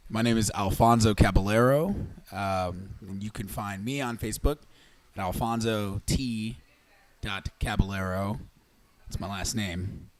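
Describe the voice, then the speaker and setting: Slow, dry-talking man in his twenties. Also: lowish voice. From Cincinnati. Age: 20s. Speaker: stand-up comedian. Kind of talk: casual panel chat.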